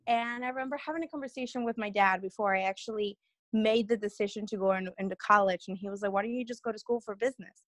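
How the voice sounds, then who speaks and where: A woman's voice: medium pitch, fast talker, no room sound. A young woman from Peru, a guest on an interview podcast, telling her story in a rambling, earnest way with many restarts.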